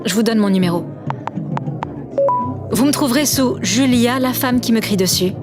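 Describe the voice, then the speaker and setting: A woman's voice: fairly high pitch, moderate pace, over loud music, natural voice. A woman playing a stern, sardonic investigator in an audio drama, restrained and probing. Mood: guarded. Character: stern, sardonic female investigator, quietly worried.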